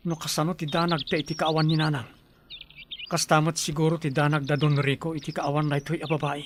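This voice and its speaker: A man's voice: on the high side, little pitch movement, quick pace, natural voice. A man playing a worried young country brother in a radio soap drama. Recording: clear birdsong and ambient noise behind.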